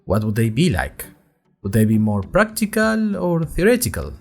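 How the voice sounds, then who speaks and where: A man's voice: mid-range voice, huge pitch swings, moderate pace, gravelly, soft background music, heavily acted. A man playing a chatty, nervous young college student who narrates his story in a wry, conversational way with dramatic emphasis. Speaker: narrator of an audio fiction podcast. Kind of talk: scripted first-person storytelling, diary-like.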